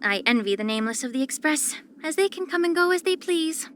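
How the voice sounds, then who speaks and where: A woman voicing a poised, soft-spoken, subtly sly young female healer in a video game, with measured, gentle, composed delivery. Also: high-pitched, expressive, slight background noise. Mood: gently teasing. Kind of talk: scripted character voice lines.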